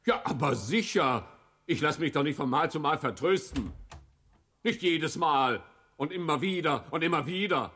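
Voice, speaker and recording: A man's voice: a little high, expressive, moderate pace, fairly bright tone, echoey room. A man playing an insistent, theatrical fellow who pleads with and courts a woman in a radio play. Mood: petulant, escalating.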